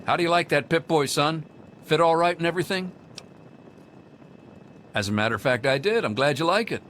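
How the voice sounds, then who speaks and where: An actor playing a friendly, modest technician with a genial, avuncular manner in a video game. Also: male voice, a little high, brisk, fairly bright tone, a little hiss or hum, natural voice. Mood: genial, pleased.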